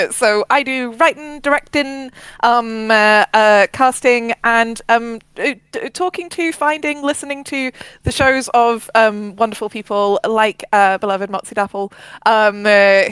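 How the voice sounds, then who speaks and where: Female podcast co-host, fairly high smooth voice, playful and halting, casual chat. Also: a bit bright, dry recording, rough recording. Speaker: co-host, audio drama writer and director. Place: online voice call.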